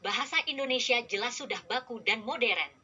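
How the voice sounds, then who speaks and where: A woman reading scripted narration in an even, formal manner. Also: fairly high pitch, a little fast, lo-fi sound.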